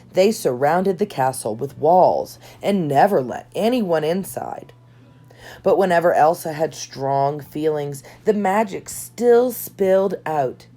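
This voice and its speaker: A woman reading a children's storybook aloud in an expressive storytelling style, with measured, dramatic delivery. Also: on the low side. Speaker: storybook reader. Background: background music.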